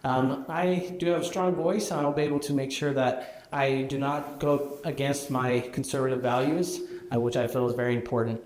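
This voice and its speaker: A man's voice: mid-range voice, steady tone, normal speed, darkish tone, roomy sound, poor audio. A man answering at a debate as a county commission candidate, plain-spoken with many fillers.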